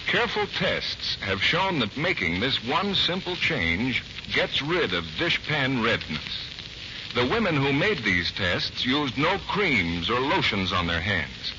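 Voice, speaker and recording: A male radio announcer of the 1940s with a polished, persuasive sales delivery. His medium voice swings in pitch, on a muffled, noisy broadcast. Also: big pitch swings, slow.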